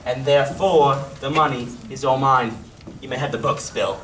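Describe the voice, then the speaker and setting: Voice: mid-range voice, normal speed, fairly bright tone, natural voice. An amateur performer playing a sly, oily old thief boss in a radio play, wheedling and falsely polite. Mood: gleeful, wheedling. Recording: distant echoey hall recording with clear noise.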